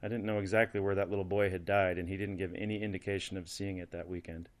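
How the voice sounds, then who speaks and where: A man's voice: deep voice, little pitch movement, quick pace, natural voice. A man playing a plain-spoken church counselor giving a conversational, matter-of-fact interview in an audio drama. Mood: matter-of-fact, reflective.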